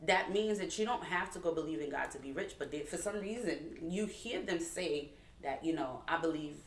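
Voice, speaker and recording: A woman with a Haitian accent and a slight lisp, co-hosting a casual chat and telling stories in an animated way. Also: low voice, moderate pace, a little hiss or hum. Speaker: co-host. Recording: echoey room from far off.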